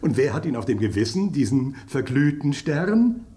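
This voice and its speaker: An actor playing a gossipy, knowing spoon in a German radio drama, telling things with suspense and dramatic pauses. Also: male voice, medium pitch, very animated, warm tone, lightly acted. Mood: suspenseful. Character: gossipy, knowing talking spoon, relishing scandal.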